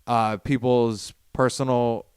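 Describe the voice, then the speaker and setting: Smooth-voiced man, thoughtful and dry. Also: medium pitch, little pitch movement, takes it slowly, a little mellow, no echo. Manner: thoughtful, analytical. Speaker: podcast co-host. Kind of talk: casual film podcast chat.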